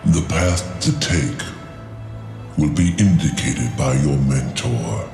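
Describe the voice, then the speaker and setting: Old prophetic dragon: bottomless creaky bass, slow, solemn, echoing over music. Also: male voice, darkish tone, heavily acted. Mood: solemn, weary. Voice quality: gravelly. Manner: archaic, grave, deliberate speech. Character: ancient weary prophetic dragon, male, fatalistic and solemn. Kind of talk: fantasy video game voice acting. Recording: cavernous echo with music underneath.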